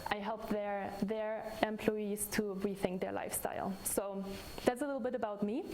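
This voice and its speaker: A woman's voice: mid-range voice, fairly even intonation, moderate pace, a little mellow, slight background noise. A woman in her thirties from Germany with a German accent, giving a chatty live talk to an audience as a stress management coach. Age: 30s. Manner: friendly, chatty, with restarts. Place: room with audience.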